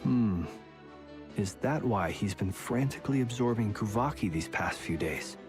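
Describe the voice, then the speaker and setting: A man's voice: fairly low pitch, lively intonation, measured pace, over music. A man playing a cool, reserved, analytical character in a video game, with a dry, precise delivery. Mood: cool, probing.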